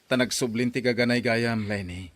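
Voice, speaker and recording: A man's voice: medium pitch, normal speed, natural voice. A man playing a doting, pleading uncle and suitor in an Ilocano radio drama. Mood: tender, happy.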